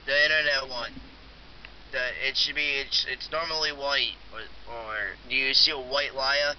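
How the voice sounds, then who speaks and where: A man's voice: medium pitch, fairly even intonation, normal speed, natural voice. A man playing an insistent, pleading security technician on a prank phone call, repeating himself. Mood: instructing. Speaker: prank caller. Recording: dull lo-fi phone recording with hiss.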